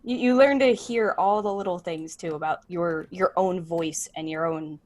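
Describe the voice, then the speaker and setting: A woman's voice: on the low side, normal speed, a little mellow. A woman podcaster in casual conversation, informal and a little self-deprecating. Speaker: podcaster, panelist. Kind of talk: casual chat.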